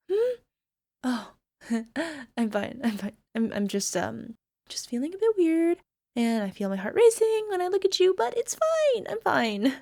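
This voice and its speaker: Woman acting a high-voiced, giggly anime-style alchemist girlfriend. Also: a little mellow, exaggerated voice. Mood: giddy, flustered. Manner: giggly, hesitant, sighing, slipping into whispers. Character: bubbly young female alchemist turned obsessively lovestruck girlfriend. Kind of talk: ASMR girlfriend roleplay audio drama.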